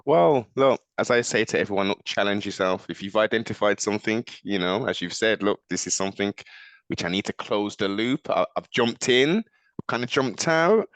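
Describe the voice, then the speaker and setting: A man's voice: fairly low pitch, animated, moderate pace, fairly bright tone, no echo, poor audio. A man hosting a conversational sports interview with theatrical, formal flourishes and an encouraging way of talking. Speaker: sports show host.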